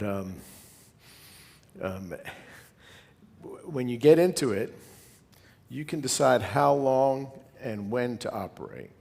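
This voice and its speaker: A man's voice: lowish voice, vocal fry, darkish tone. A man giving an informal, conversational slide presentation to fellow hobby club members, wandering into asides as he goes. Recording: long hall reverb.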